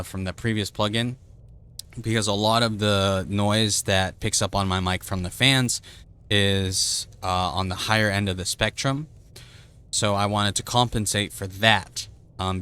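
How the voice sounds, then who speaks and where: A man's voice: fairly low pitch, bright, forceful tone, clear, resonant tone. A man streamer casually explaining audio settings in a loose, chatty way with plenty of fillers, on a desktop streaming mic at his home setup. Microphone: desktop streaming microphone with noise gate.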